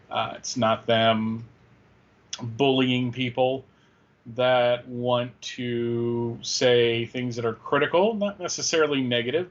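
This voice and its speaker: A man giving casual opinions as a talk show co-host. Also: mid-range voice. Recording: slightly dull lo-fi audio.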